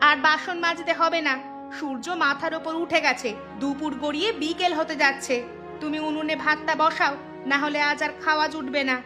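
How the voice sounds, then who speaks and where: A woman's voice: high-pitched, steady tone, normal speed, music in the background, close to an everyday voice. A woman voicing a shrewish, nagging cartoon daughter-in-law with a sarcastic, scolding manner. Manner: nagging, sharp-tongued, sarcastic. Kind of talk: Bengali cartoon voice acting.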